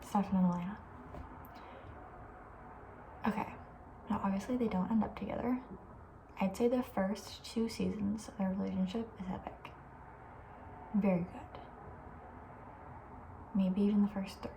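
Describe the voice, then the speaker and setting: A soft-spoken woman host chatting casually in an ASMR style, often near a whisper, informal and thinking aloud. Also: medium pitch, steady tone, moderate pace, vocal fry, pauses often, a little hiss or hum, poor audio.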